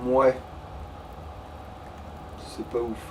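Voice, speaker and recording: A man's voice: medium pitch, level tone, very slow. A man chats casually over a video game, informal and slangy, swearing offhandedly. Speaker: let's player. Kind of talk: casual gaming chat. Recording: distant mic with background noise.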